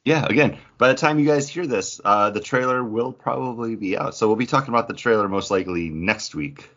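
A man's voice: medium pitch, a little fast, fairly bright tone, highs cut off. A man co-hosting a podcast in casual chat, with an informal, jokey, geeky enthusiasm. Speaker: podcast co-host.

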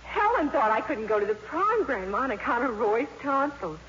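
A woman's voice: fairly high pitch, sing-song, moderate pace, fairly bright tone. An actress playing a dreamy, proud teenage schoolgirl with gushing, girlish talk, in 1950s radio style. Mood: dreamy, thrilled. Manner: breathlessly gushing, girlish slang. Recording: muffled, noisy old radio recording.

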